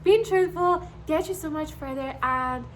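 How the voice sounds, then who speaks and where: Young woman, high-pitched, giggly and gushing vlogger, faint background hiss. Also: a little slow. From Scotland. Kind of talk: casual chat to camera.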